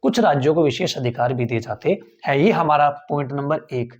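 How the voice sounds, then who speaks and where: Man lecturing slightly high, repeating points. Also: fairly quick, highs cut off. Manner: repeats key points, quizzes listeners with rhetorical questions. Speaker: teacher. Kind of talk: classroom lecture for tenth grade.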